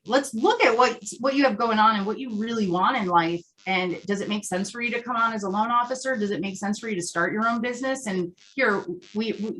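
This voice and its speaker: A woman's voice: medium pitch, a bit bright, rough recording. A woman answering in a conversational interview as a company co-founder, explaining earnestly and posing rhetorical questions.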